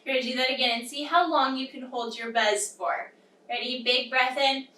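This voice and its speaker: High-voiced young woman leading kids' storytime yoga. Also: steady tone, normal speed, bright, forceful tone. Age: young adult. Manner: simple, encouraging instructions addressed to young children. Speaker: children's yoga storytime instructor. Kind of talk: guided kids' yoga lesson with read-aloud storytelling. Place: small indoor room with wooden floor. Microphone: camera across the room. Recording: roomy echo, distant microphone.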